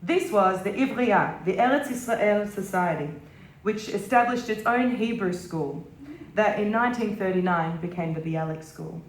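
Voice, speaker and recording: A woman's voice: mid-range voice, moderate pace. A woman giving a dramatic reading of a scripted memoir at a live event. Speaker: reader at a literary stage event. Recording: roomy, echoing live venue.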